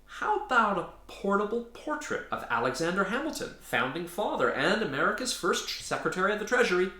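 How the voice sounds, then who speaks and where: A man's voice: high voice, sing-song, a little fast, bright, forceful tone, pitched above the performer's own voice, exaggerated voice. A man voicing an earnest, easily exasperated teenage boy in a storybook, pleading and yelping. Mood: desperate, indignant. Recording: roomy echo.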